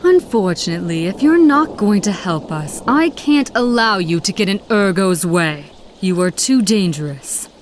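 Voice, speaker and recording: A woman's voice: medium pitch, animated, moderate pace, hardly any pauses, slight background noise, natural voice. An actress voicing a determined, zealous female agent of a secret order in a video game, solemn and poised. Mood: resolute, menacing. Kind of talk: dramatic video game dialogue.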